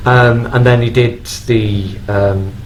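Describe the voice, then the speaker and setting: A man's voice: mid-range voice, steady tone, takes it slowly, muffled recording. A man speaking as a guest on a live convention panel, chatting casually in rambling, slightly hesitant anecdotes. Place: on stage at a fan convention. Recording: noisy live hall with room ambience.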